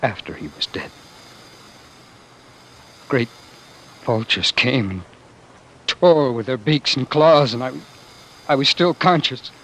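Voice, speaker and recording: An actor playing a weary, haunted man in a grave, halting 1940s radio drama monologue, on an old broadcast recording. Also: medium pitch, fairly bright tone, poor audio. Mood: haunted, exhausted. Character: weary, haunted man narrating a dying world.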